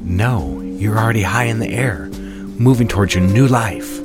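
A suave, low-pitched gentleman played by a male actor in a period audio drama. He speaks as an ardent, secretive fiancé, coaxing with endearments, and music plays prominently behind him. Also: animated, normal speed, natural voice. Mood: ardent, persuasive. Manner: coaxing, endearment-laden speech.

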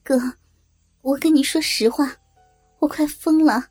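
A woman's voice: sits high, measured pace, darkish tone, faint music behind. A woman playing a frightened, naive young rural wife with a Northeastern Chinese accent, hesitant and pleading, in an audio drama.

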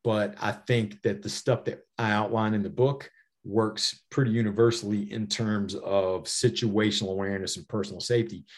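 A middle-aged man with an American accent answering interview questions conversationally, explaining things with personal anecdotes and often saying "you know". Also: fairly low pitch. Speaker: podcast guest, safety author and former federal air marshal.

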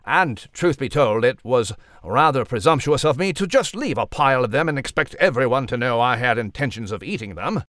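A man's voice: mid-range voice, lively intonation, fairly quick, fairly bright tone, no echo, clean studio sound, natural voice. A man voicing a prim, courteous, wordy mouse newsreader with a British accent for a children's podcast. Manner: pompous, wordy, formal. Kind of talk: mock news report in a children's podcast.

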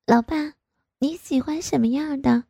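A woman's voice: high voice, unhurried, creaky, dark tone, dry recording, clean studio sound, pitched above the performer's own voice. A woman voicing a pouty, coy young married woman who wheedles in a raised voice. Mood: wheedling. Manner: pouting, wheedling.

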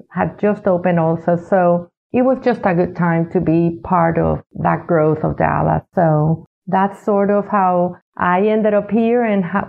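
An older woman from Puerto Rico tells her story as a guest in an unhurried way, often stopping for a "you know." Her voice is low with a gravelly edge. It comes through a thin, phone-like line. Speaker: architect and executive director, guest. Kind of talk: interview answers, reflective storytelling.

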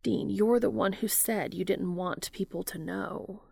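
Woman as earnest gentle male lover, fan fiction romance, measured, soft-spoken, gravelly, dry recording. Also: mid-range voice, steady tone, dry recording, full character voice.

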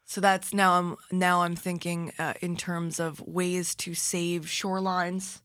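A woman's voice: on the low side, little pitch movement, takes it slowly, a bit bright. A woman hosting a radio interview in a studio, asking curious, eager follow-up questions in a conversational way. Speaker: radio host.

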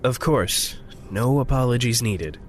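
An actor playing a gentle, attentive friend in an audio drama, careful and reassuring in how he talks. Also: mid-range voice, animated, a little slow, close to an everyday voice. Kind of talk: naturalistic audio drama acting.

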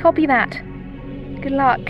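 High-voiced mission controller over muffled radio. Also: female voice, unhurried, over loud music. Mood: brisk, wry. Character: female mission controller, brisk, wryly friendly. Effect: radio comms filter. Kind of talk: sci-fi audio drama acting.

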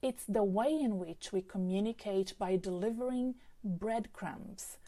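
A woman's voice: mid-range voice, unhurried, warm tone. A woman who speaks English as a second language with an accent, hosting a solo podcast about careers in a chatty way full of fillers and restarts. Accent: non-native English. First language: not English. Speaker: career coach podcast host. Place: home setup with a desk microphone.

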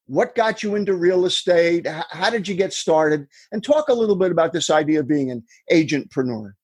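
A man's voice: a little high, expressive, brisk, husky. A man hosting an interview, asking long encouraging questions that prompt his guest to tell his story. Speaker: interview host.

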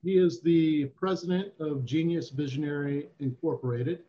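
A man hosting an interview, speaking in a halting, measured way as he introduces and questions a guest. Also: a little high, warm tone, lo-fi sound, a little hiss or hum. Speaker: interviewer, host.

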